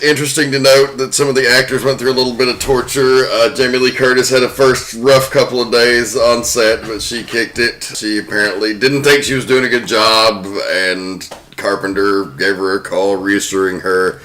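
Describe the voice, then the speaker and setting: A man's voice: medium pitch, flat delivery, normal speed, a bit bright. A man co-hosting a film podcast, presenting behind-the-scenes commentary to friends. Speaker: podcast co-host. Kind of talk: prepared film trivia commentary among friends.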